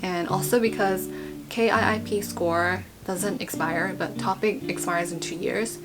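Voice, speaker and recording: Young woman, informal vlog talk, chatty and rambling, mid-range pitch, guitar music playing behind. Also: steady tone, measured pace, low-quality recording. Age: young adult. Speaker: vlogger. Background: background guitar music.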